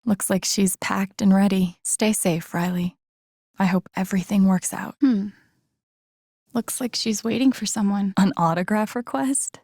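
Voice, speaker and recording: A woman playing an introspective, gently wry young woman in a video game, musing to herself in a naturalistic, low-key way. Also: fairly even intonation, measured pace, no echo, studio quality. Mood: light, curious. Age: young adult. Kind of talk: naturalistic game voice acting, inner-monologue remarks.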